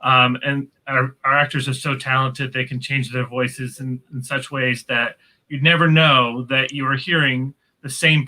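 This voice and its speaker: A man speaking as an interview guest, chatting casually in a measured way with dry humor. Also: medium pitch, bright, forceful tone, rough recording. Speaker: podcast guest, audio drama writer.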